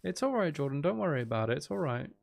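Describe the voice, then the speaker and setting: A man's voice: a little high, sing-song, quick pace, creaky. A man chatting casually as he hosts a live gaming stream, with playful, teasing banter aimed at his viewers. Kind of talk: casual gaming livestream chat.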